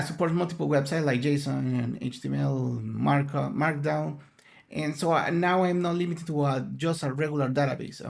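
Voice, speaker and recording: Spanish-speaking man with a gravelly voice. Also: on the high side, a little mellow. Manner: earnest, explanatory, searching for words. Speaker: conference speaker. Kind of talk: online conference presentation.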